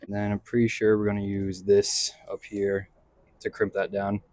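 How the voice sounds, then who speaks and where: Low-voiced laid-back man talking through DIY work. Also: completely flat delivery, normal speed, a little mellow, no echo. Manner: laid-back, slangy, thinking aloud. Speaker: vlog host doing a DIY car install. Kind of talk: casual narration while working on a vehicle. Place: in and around a pickup truck.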